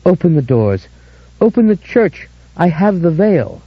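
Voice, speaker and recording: A rapturous young woman crying out in a high, piercing voice, as a male audiobook narrator puts her on. She is a fervent believer, all shrill fervour. The recording is slightly dull and lo-fi. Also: sing-song, a little slow, husky, dry recording, exaggerated voice. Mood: frantic. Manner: high-pitched, shrill cries.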